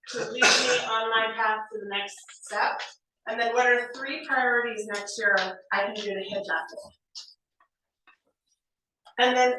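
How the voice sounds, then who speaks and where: A woman in her forties giving a lively, conversational motivational training talk in an office meeting room, often checking in with her listeners. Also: fairly high pitch. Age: 40s. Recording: very echoey room, mic far off, faint noise.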